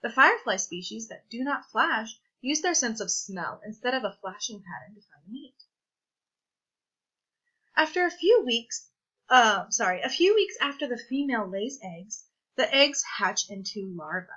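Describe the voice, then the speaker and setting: A woman with a very bright, forward voice reads a children's science book aloud. She sits a little high in pitch and phrases things deliberately, like a teacher. The recording is somewhat dull and lo-fi. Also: big pitch swings, slightly rough. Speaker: read-aloud presenter.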